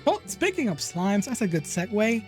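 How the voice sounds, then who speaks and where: A host with a Puerto Rican accent keeps an online chat show loose and playful. The voice sits at a middle pitch, and the host laughs often while teasing the guest. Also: sing-song, normal speed, soft background music. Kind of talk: casual interview chat.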